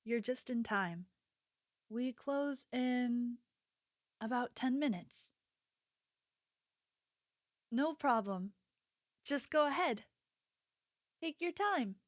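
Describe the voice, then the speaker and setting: Woman voicing young female store clerk for ASMR roleplay: sweet, solicitous, slightly high, unhurried, soft, secretly possessive. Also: animated, no echo, clean studio sound. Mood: sweet, attentive. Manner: gentle, apologetic, hesitant, solicitous.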